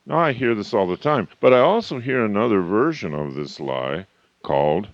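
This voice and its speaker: Emphatic lower-voiced man, lively motivational talk. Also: no room sound. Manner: emphatic, with dramatic slowdowns on key points. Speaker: coach and author. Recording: slightly muffled, dry recording.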